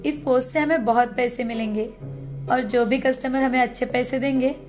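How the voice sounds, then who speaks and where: A woman's voice: high-pitched, steady tone, moderate pace, over music, lightly acted. A woman voicing a young, rich, brazen daughter-in-law in a cartoon story. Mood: brazen, breezy.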